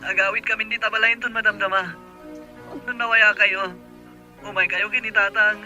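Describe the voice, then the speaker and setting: Man acting high, sharp-voiced grieving Ilocano brother. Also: flat delivery, normal speed, muffled recording, faint music behind. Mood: sorrowful. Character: grieving younger brother phoning with sad news. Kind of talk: Ilocano radio drama acting.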